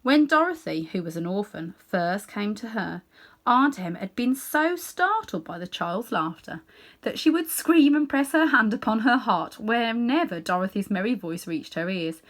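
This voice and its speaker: A woman reading a children's story aloud in an animated way, with dramatic pauses and hushed little asides. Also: medium pitch. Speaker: storybook reader.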